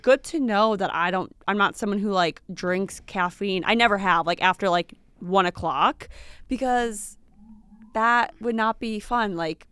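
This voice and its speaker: A woman's voice: mid-range voice, fairly bright tone, clear, resonant tone, dry recording, studio quality. A woman in her late twenties co-hosting a podcast, chatting casually with lots of fillers and little laughs. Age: late 20s. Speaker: podcast co-host. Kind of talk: casual chat.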